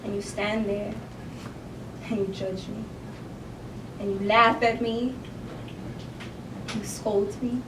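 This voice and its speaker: A woman performs a theatrical monologue as a wounded woman standing up to her abuser. Her delivery is slow, deliberate and emphatic, broken by long pauses. She is heard at a distance in an echoey room with faint rumble. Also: lively intonation. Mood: hurt, accusing.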